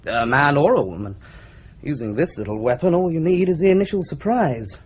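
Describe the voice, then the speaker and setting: A gleeful, teasing police doctor. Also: male voice, fairly high pitch, brisk, slightly rough. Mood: explaining. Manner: lively, teasing, demonstrative. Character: cheerful, relishing male police doctor. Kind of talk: radio drama acting. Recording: slightly dull old radio recording.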